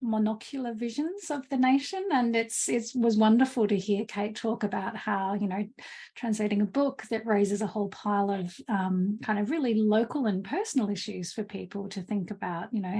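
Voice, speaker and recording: A woman's voice: medium pitch, steady tone, moderate pace, warm tone, husky. A woman, speaking as a panel speaker in a rambling, hesitant way with many fillers. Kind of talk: panel discussion answer.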